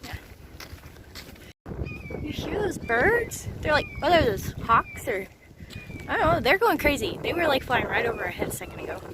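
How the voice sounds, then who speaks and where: A woman vlogging her day in a casual, rambling way, full of ums, her voice hoarse. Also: high-pitched, wildly swooping pitch, moderate pace, pauses often, noisy background. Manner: chatty, rambling with many ums. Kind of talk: casual daily vlog to camera. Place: outdoors on a rural road, wind.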